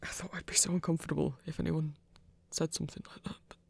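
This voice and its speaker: A woman playing an awkward, self-conscious werewolf hunter in an ASMR romance, stammering in a husky, breathy voice. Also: low-pitched, flat delivery, quick pace, exaggerated voice. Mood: flustered, touched. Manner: flustered, stammering, self-deprecating. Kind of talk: intimate ASMR romance roleplay.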